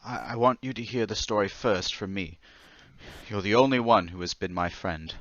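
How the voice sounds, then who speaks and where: A man's voice: lowish voice, normal speed, dull audio, close to an everyday voice. A man playing a meek, lonely, trembling character who hesitates and sighs, in an old-time radio drama. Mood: anguished. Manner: hesitant, stammering, sighing. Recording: loud noise and clicks.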